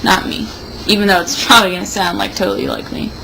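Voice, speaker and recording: A woman's voice: mid-range voice, steady tone, fast, vocal fry. A young woman in a casual, rambling monologue, sounding tipsy and sardonic. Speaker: vlogger. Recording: noticeable background noise.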